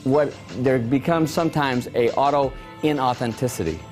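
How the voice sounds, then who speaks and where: A man's voice: mid-range voice, moderate pace, soft background music. Man telling a keynote story. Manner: conversational, animated storyteller. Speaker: keynote speaker.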